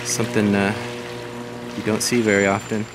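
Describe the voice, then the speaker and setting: A man's voice: fairly low pitch, level tone, moderate pace, over music. A man in his forties narrating a casual walking vlog outdoors. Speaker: vlogger. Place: outdoors along a waterfront. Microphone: handheld camera microphone. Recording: windy outdoor camera recording with loud noise. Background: loud wind and outdoor ambience.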